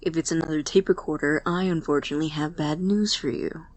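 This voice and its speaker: A man acting in an audio drama as an awkward, earnest, apologetic college student who stammers and speaks softly. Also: fairly high pitch, fairly even intonation, fairly quick, no echo, lightly acted. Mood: wry. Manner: hesitant, stammering, soft and breathy when sincere.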